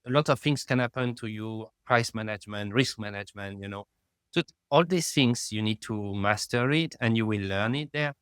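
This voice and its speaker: Middle-aged guy, smooth clear voice, dry sound, keeps saying you know. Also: on the low side, a bit bright, no echo. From France. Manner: halting, fills with "you know", rises in emphasis. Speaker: guest, lab founder. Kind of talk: remote conversational interview.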